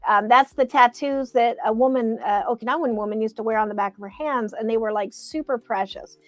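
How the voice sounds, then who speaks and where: Eager higher-voiced woman chatting. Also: fairly quick, no room sound, faint music behind. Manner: eager, rambling, many fillers and restarts. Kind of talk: casual chat.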